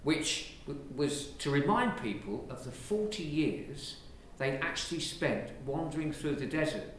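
An Englishman, a priest, giving a lively, conversational sermon from the pulpit of a large church, filmed from a distance. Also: fairly high pitch, a little slow. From England. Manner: lively, conversational preaching with gestures. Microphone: far camera. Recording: very echoey church from far off, faint hiss.

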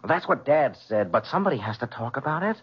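A young, earnest son in a vintage radio drama, protective and ready to argue. His husky voice moves animatedly up and down, on a muffled old recording. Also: medium pitch, big pitch swings, fast. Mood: pleading, concerned. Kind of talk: 1970s radio drama acting.

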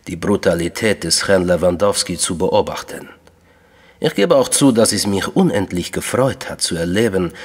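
An actor playing an enigmatic Russian official in a crime radio drama, courteous, deliberate and coolly menacing. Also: male voice, lowish voice, breathy edge, long reverb tail. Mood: coolly confessional.

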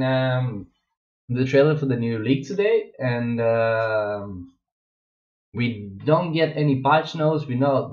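A man's voice: medium pitch, a little mellow. A young Russian-born man with a Russian accent, chatting casually as a streamer in his gaming room, rambling with many fillers and restarts. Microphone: wireless headset. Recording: echoey, dull lo-fi home mic.

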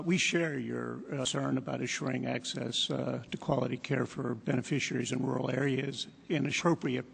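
A man testifying as a commission chairman, measured and hesitant with many fillers. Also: medium pitch, vocal fry, slightly rough, long reverb tail. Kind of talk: testimony at a hearing. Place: large hearing room.

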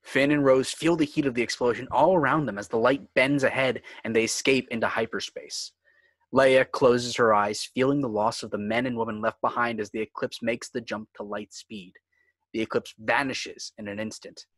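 Man, script narrator, dramatic delivery, medium pitch, dry room sound. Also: steady tone, moderate pace, fairly bright tone, dry recording. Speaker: narrator reading stage directions.

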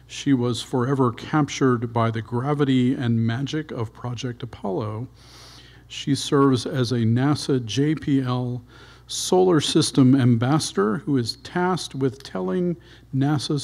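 A man hosting a lecture series speaks slowly and unhurriedly, with his voice in a middle register. He fills his announcements with ums and uhs as he introduces the guest. He is heard in a dark lecture hall. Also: mid-range voice. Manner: unhurried, with many fillers. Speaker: event host.

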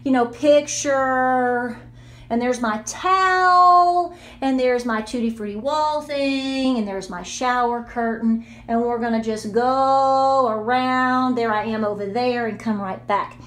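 A woman's voice: on the high side, slow, a bit bright, echoey room. A woman hosts a casual homesteading product review vlog, talking straight to viewers in a chatty, emphatic way from a small bathroom, recorded on a handheld phone. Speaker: homesteading channel host.

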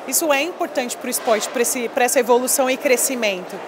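A woman's voice: on the high side, quick pace, noisy background. A woman in her thirties, a former volleyball player, chatting emphatically in an interview on a handheld mic at a busy expo hall, dropping lots of little fillers. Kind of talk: casual interview. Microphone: handheld mic held by interviewer.

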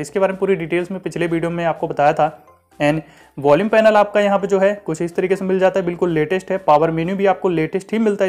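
A man's voice: high voice, darkish tone. A man giving a casual walkthrough voice-over as a tech reviewer, mixing in English terms and repeating filler phrases. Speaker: tech reviewer, channel host.

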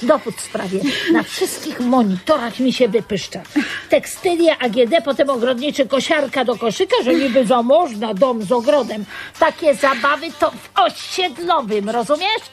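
An actress playing a coarse, foul-mouthed store security guard who hectors with scornful contempt in a radio drama. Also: female voice, high-pitched, expressive, moderate pace. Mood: accusing.

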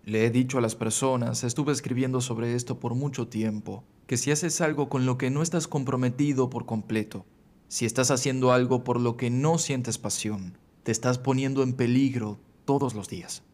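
A middle-aged man giving a motivational talk as a voice-over, earnest, measured and emphatic. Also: mid-range voice, fairly even intonation, darkish tone. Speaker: motivational speaker.

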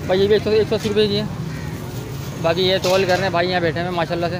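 A man's voice: high voice, fairly even intonation, fast, crowd noise, noisy background. A male vlogger walking through an outdoor market stall, filming on his phone and upbeat as he repeats prices to the camera. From Pakistan. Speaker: vlogger host. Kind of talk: walking market tour vlog.

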